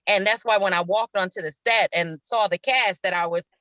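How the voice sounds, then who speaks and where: A woman's voice: medium pitch, moderate pace, pushed and bright, dry recording, dull audio. A middle-aged woman telling stories casually as an interview guest, effusive and repeating words for emphasis. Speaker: stage actress, interview guest.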